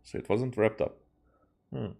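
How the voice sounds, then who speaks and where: A man's voice: fairly low pitch, steady tone, unhurried, creaky, mellow, a little hiss or hum. A man with a Hungarian accent, casually rambling as a live game streamer in a hesitant, musing way.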